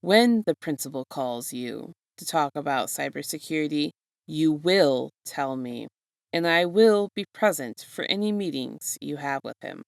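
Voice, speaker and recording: A woman voicing a weary, stern male schoolteacher in a measured deadpan while reading a story. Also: sits low, creaky, dry recording, studio quality, heavily acted. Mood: firm, tired.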